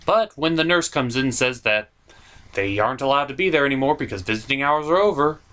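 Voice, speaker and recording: A man's voice: medium pitch, expressive, a little fast, a bit bright. A man hosting a puppet review show, narrating a scripted comedic cartoon review in a theatrical, mock-exasperated manner.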